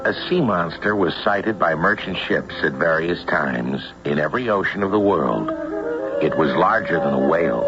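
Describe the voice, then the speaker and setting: A man narrating a radio drama in a portentous, deliberate, scripted style. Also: deep voice, expressive. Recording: muffled radio recording, loud music. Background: loud music.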